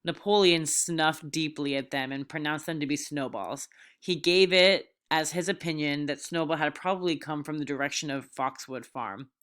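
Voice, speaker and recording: A woman's voice: sits low, moderate pace, fairly bright tone, clear, resonant tone. A woman teacher reading aloud and explaining an assignment to her students in an informal, direct way.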